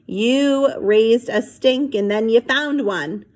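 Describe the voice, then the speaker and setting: A woman's voice: a little high, slow pace, a little mellow. Woman reading as a wry, teasing storybook dad. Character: wry storybook father of a young girl.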